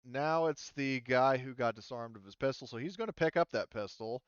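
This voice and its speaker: Guy game mastering online, casual, smooth clean voice, dry slightly muffled mic. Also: mid-range voice, normal speed, no room sound. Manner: informal, swears. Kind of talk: casual tabletop game moderating over online session.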